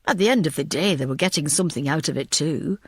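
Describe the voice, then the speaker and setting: A woman's voice: sits low, fast talker, slightly rough, dry recording, studio quality, voice pushed down. A woman voicing a reluctant, blunt male investigator uneasily reporting grim news in an audiobook. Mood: grave, uneasy.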